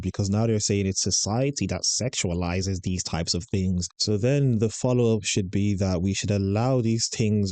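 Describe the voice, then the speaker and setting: A man's voice: fairly low pitch, dark tone, no echo. A man giving sarcastic, argumentative commentary as he reacts to a played clip. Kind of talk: scornful commentary.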